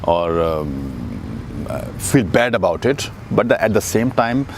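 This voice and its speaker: A man with an Indian accent rambles through a casual outdoor vlog, his fairly low voice full of fillers and restarts. Faint street traffic hums behind him. He sits outside on a windy day. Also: sing-song, takes it slowly, gravelly. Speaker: dating coach vlogger.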